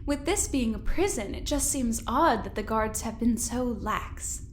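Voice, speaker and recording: A woman's voice: fairly high pitch, lively intonation, unhurried, slight background noise. A woman playing a cordial, probing doctor and visiting scientist in an audio drama, polite and chatty with a pointed edge. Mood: friendly, probing.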